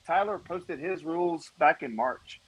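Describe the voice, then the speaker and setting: A man with a fairly high voice chats on a live panel. He tells a loose, rambling story, padding it with "uh" and breaking off to restart his sentences. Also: animated, a little slow, fairly bright tone, low-quality recording. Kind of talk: casual chat, telling stories.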